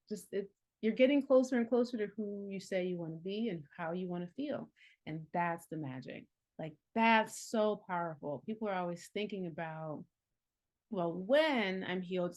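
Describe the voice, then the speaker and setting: A woman's voice: on the low side, moderate pace, darkish tone. A woman in her forties, an herbalist and healing coach, talking casually and earnestly with frequent laughs and many fillers. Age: 40s. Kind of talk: casual reflective talk.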